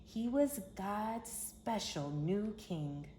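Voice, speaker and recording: A woman reading a children's story aloud in an expressive, emphatic storytelling manner. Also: mid-range voice, drawn-out words. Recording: roomy echo with background hiss.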